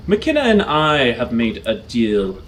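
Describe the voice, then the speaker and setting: A man narrating an improvised tabletop roleplay as game master, with measured, formal, descriptive phrasing. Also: medium pitch, wildly swooping pitch, a bit bright, a little hiss or hum.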